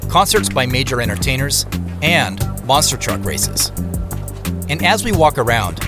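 An actor playing a reserved, observant man who narrates his own story in measured, descriptive audio drama style. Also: medium pitch, close to an everyday voice. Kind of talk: scripted first-person audio drama narration. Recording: music behind narration.

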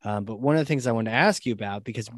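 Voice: mid-range voice, rapid-fire, no room sound. Dry, close-sounding interview host. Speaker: podcast host. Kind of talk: casual interview chat.

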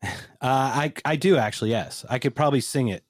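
A man's voice: mid-range voice, a little fast, dry recording, clean studio sound. A man hosting a casual podcast interview, bantering and joking with his guests. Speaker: podcast host.

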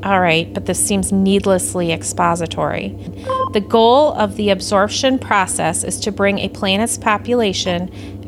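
An actress plays a female alien officer in an audio play, composed and a little superior. She speaks deliberately at a middle pitch. Faint background noise sits behind her. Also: natural voice. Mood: dry exasperation.

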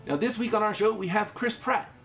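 A man's voice: high-pitched, a little fast, gravelly, fairly bright tone, faint music behind. A man with a Canadian accent, speaking as the host of a podcast. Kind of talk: scripted intro and podcast interview.

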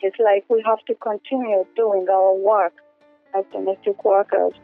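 A woman's voice: medium pitch, level tone, normal speed, vocal fry, dry recording, faint music behind. Ugandan-born woman on a phone line. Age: 40s. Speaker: home care worker. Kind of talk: interview clip.

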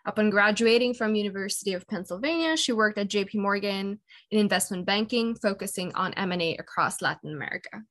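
A woman's voice: mid-range voice, brisk, a bit bright. A young woman, a student hosting a podcast, who reads out a prepared introduction and questions. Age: young adult. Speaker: podcast host. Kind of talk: podcast interview.

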